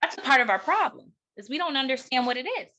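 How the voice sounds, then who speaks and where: Bright, high-voiced American woman giving a keynote. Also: animated, highs cut off. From United States. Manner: emphatic, deliberate rhetorical delivery with pauses and repetition. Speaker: keynote speaker and educator. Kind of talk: impassioned keynote talk.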